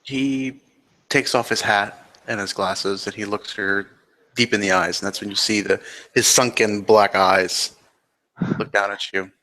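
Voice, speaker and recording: A man narrates a roleplaying scene as he makes it up, describing actions in the present tense. His voice is forceful and bright. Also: lowish voice, steady tone, a little slow, rough recording. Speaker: roleplay game player.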